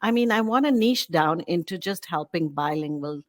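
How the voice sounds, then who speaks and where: A middle-aged woman with English learned in its British form talks in a lower voice, recorded close and dry. She tells her stories with energy, breaking into quoted asides. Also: normal speed, no echo. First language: English learned in British form, also speaks Hindi. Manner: animated storytelling with laughs and quoted asides. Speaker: guest, bilingual book creator. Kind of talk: casual interview chat.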